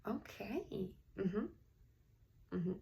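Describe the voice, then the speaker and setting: A woman reading a picture book aloud in an encouraging, teacherly manner, pausing to put questions to young listeners. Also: mid-range voice, expressive, warm tone. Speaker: read-aloud host, teacher-like reader.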